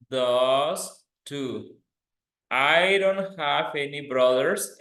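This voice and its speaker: Slow man teaching English. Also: mid-range voice, a bit bright. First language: Spanish. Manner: deliberate, instructive, repeats phrases. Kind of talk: grammar lesson, reading examples aloud. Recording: echoey, roomy sound.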